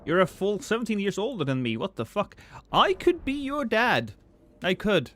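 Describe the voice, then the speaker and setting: A man in his thirties chatting casually and profanely with viewers on a live stream, rambling and joking at his own expense. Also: moderate pace. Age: 30s. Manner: rambling, self-mocking, profane; swings between loud excited bursts and low mumbles.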